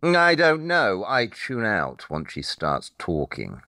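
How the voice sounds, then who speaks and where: A man's voice: on the low side, huge pitch swings, takes it slowly, pushed and bright, dry recording, exaggerated voice. A man playing a brilliant, eccentric detective in an audio drama, dry, matter-of-fact and condescending. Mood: dismissive.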